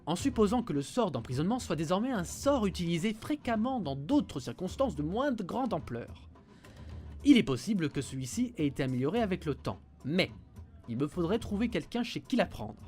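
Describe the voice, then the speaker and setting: Bookish wry mage voiced by a man, higher male voice, explanatory, music underneath, fantasy audio drama. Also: sing-song, fairly quick, a little mellow. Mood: explaining, doubtful. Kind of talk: French amateur audio fiction acting.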